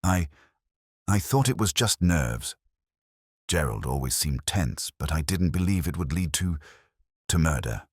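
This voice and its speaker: A man's voice: very deep voice, expressive, measured pace, pauses often, husky, no echo, studio quality, heavily acted. A man voicing a flustered, timid, apologetic gossipy woman in an audiobook, hesitant and fluttery.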